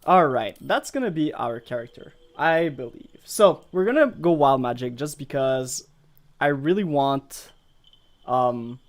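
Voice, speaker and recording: A man's voice: medium pitch, lively intonation, unhurried. A man from Montreal, a native French speaker with a Quebec French accent, chats casually in English as he streams a game, rambling and hesitant as he thinks aloud. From Montreal, Canada. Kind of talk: casual live gameplay chat. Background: music.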